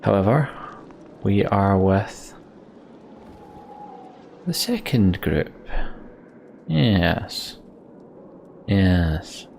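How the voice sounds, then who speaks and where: Slow-paced man with a creaky voice. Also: sits low, very animated, a little mellow, slight background noise. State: almost over a cold. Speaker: let's play host. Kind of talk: casual gameplay commentary and scripted narration.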